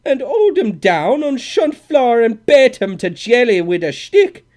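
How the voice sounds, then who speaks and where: A man's voice: extremely high pitch, big pitch swings, measured pace, a little mellow, voice pushed up, heavily acted. A man voicing a mournful, stubborn old Irish innkeeper with a brogue, who pleads and wails. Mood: wailing angry. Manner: pleading, wailing.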